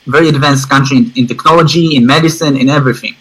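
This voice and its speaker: Bright-voiced man with an Israeli accent, emphatic. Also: medium pitch, steady tone, fast, slightly rough, poor audio. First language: not English, which is a third language. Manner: emphatic, repeats phrases for stress. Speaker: interview guest, online advertising student. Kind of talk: informal interview testimonial.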